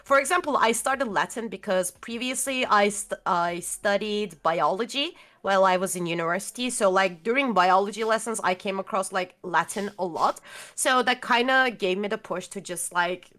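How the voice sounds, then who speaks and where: A young woman with a Turkish accent talks casually as an interview guest. Her pitch sits in a middle range, and she rambles, stopping often to search for the right word with lots of fillers. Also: moderate pace, fairly bright tone. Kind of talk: casual video-call chat.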